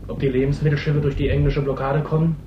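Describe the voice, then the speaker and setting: Young male actor as defiant, fast-talking teenage boy. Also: on the high side, little pitch movement, lightly acted. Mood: sullen. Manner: curt, challenging. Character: defiant, impatient fifteen-year-old fisherman's son. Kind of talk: German radio play acting. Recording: dull, noisy, echoey old radio recording.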